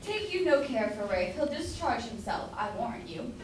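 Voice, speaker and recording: A woman's voice: on the high side, sing-song, normal speed. Girl doing a pushy blustery grocer guy in a stage play, really up and down voice, super echoey room. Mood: proud, bossy. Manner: blustering, demanding, emphatic. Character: bossy, meddling male citizen grocer, proud husband. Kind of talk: amateur staged reading of Jacobean comedy. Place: indoor room at a college, far from mic. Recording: distant echoey room, faint noise.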